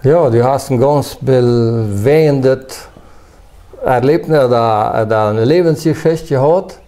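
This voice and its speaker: A man's voice: mid-range voice, slow pace, mellow. A man hosting a conversational interview who asks short, friendly questions. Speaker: interviewer host.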